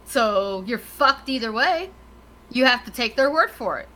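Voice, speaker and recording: Bright, high-voiced woman, blunt and casual. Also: expressive, measured pace, rough recording. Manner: blunt, heavy swearing. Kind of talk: casual podcast chat.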